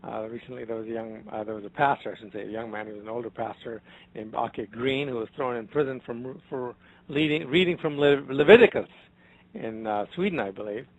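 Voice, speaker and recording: Male guest author on a radio show, mid pitch, animated, loud then soft, muffled sound. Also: fairly quick. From grew up in Africa, the Middle East and India. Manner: animated, with sharp swings in loudness and many fillers. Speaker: author and ministry founder, guest. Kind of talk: guest interview. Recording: dull lo-fi broadcast audio.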